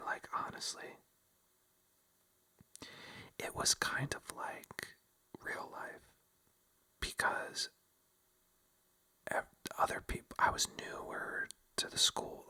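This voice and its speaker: A young man telling a story in a close, soft ASMR whisper, rambling and self-mocking with lots of fillers.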